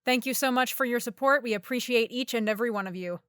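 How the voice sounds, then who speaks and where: A woman's voice: fairly high pitch, fast, a bit bright, clear, resonant tone, no room sound, studio quality. A woman reading scripted podcast announcements as the show's writer and director, addressing listeners directly.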